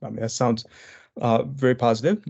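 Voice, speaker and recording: A man leads a business webinar in a mid-range voice, talking his audience through slides in a loose, conversational way. He often restarts phrases and fills pauses as he goes. The audio is slightly dull and lo-fi, as if picked up by a computer mic. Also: medium pitch, little pitch movement, vocal fry, a little mellow. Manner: conversational, with frequent fillers and restarts. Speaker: webinar presenter.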